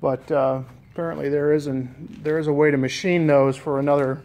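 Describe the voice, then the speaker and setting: An American man with a voice pitched a little above average talks in a casual, unscripted way. He sounds like a hobbyist restorer showing his work, pausing hesitantly as he thinks aloud. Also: fairly even intonation, a little mellow. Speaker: DIY boat restorer and vlogger. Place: garage with concrete floor. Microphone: handheld camera, operator behind it.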